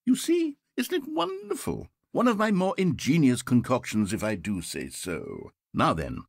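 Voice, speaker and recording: A man's voice: mid-range voice, breathy edge, no room sound, exaggerated voice. A man voicing a sardonic, fussy video game narrator, passive-aggressive and dry in manner, recorded in a dry studio. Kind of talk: scripted game voice-over.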